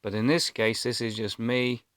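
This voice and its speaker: A man's voice: medium pitch, little pitch movement, moderate pace, a bit bright, no echo. Hesitant man in his fifties, dry sound. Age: 50s. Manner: hesitant, rambling. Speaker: vlogger. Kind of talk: casual personal storytelling to camera.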